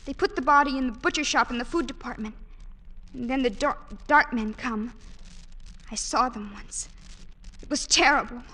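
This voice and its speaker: A frightened young woman held captive. Her voice sits high and she speaks softly and pleadingly. The recording has the sound of vintage radio. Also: moderate pace, pauses often, poor audio. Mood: hushed. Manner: soft, fearful and pleading. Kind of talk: old-time radio drama acting.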